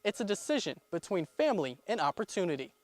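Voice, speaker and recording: A man's voice: high-pitched, big pitch swings, fast talker, no echo. A man working as a television news reporter, delivering scripted reports and stand-ups on location.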